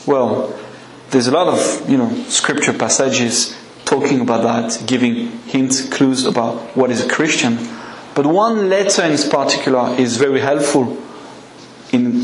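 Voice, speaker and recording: A French man preaching in English with a French accent, his speech easy and conversational as he puts questions to the congregation. His voice sits at an ordinary middle pitch. It rings around a roomy church, with a faint murmur of ambience behind. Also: slight background noise. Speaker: guest preacher. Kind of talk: evening sermon with a live congregation.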